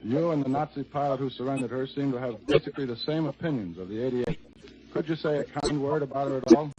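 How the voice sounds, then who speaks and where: A man's voice: mid-range voice, fast talker, a crowd in the background. An American man giving a scripted 1940s radio war report in a wry, dryly humorous manner. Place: on an airfield flight line. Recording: noisy, muffled 1940s radio broadcast.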